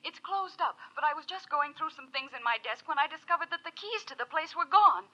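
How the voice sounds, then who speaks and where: An actress playing an anxious wife on a telephone, urgent and precise, in 1940s radio drama style. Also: female voice, high voice, lively intonation, fairly quick, sharp tone. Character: anxious wife phoning a detective. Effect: telephone filter. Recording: dull old radio, phone-like sound.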